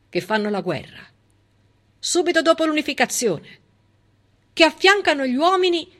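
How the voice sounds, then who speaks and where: Female stage storyteller, high and forceful voice, emphatic civic monologue with deliberate pauses and repetition. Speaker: stage actress and narrator.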